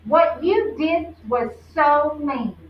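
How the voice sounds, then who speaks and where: An earnest, plucky little schoolgirl from a storybook , read by a woman, speaking up emphatically with hurt feelings. The voice is high and slow, heard from a distance in an echoing room. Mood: indignant. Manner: emphatic, pleading. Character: earnest, wounded but plucky young schoolgirl. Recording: roomy, distant, dull low-fi with faint noise.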